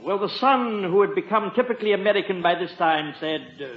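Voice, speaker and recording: Male British butler role, fairly high voice, impeccably formal and dry, vintage radio comedy, muffled echoey sound. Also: lively intonation, quick pace. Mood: polite, composed. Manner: formal, precise, deferential, dry. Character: very proper, dryly witty English butler. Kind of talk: 1950s radio comedy acting.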